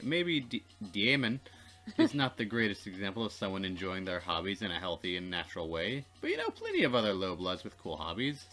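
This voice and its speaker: A man reading a visual novel aloud as a Let's Play narrator, expressive and playfully dramatic. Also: sits low.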